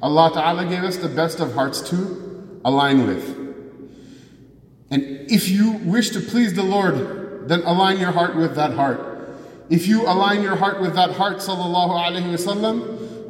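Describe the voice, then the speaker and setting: A man preaching a Friday sermon from a distance in a large, echoing prayer hall. His voice sits a touch high, and the long reverb trails after each phrase. He poses rhetorical questions to the congregation and moves between English and Arabic scripture. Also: normal speed. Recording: echoey hall, voice from far off.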